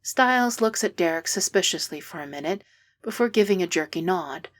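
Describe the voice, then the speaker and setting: A woman's voice: fairly low pitch, animated, a little fast. Woman reading fan fiction aloud. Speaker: podfic reader.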